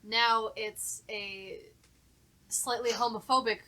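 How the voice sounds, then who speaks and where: A woman's voice: a little high, steady tone, slow, bright, forceful tone, low-quality recording. A woman who works as a voice actor, talking informally and enthusiastically in a casual podcast discussion. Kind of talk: casual group podcast chat.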